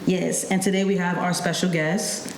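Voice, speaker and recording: Woman, podcast host, lowish pitch, casual interview chat, clear background noise. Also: little pitch movement, normal speed, reverberant.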